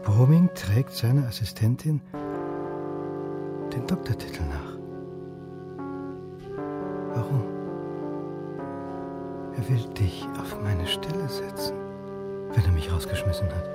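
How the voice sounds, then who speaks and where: Dry, wry middle-aged academic. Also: male voice, lowish voice, very animated, slow pace, pauses often, loud music behind the voice. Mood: suspicious, sceptical. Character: wry, wary middle-aged history lecturer. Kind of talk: naturalistic radio drama dialogue.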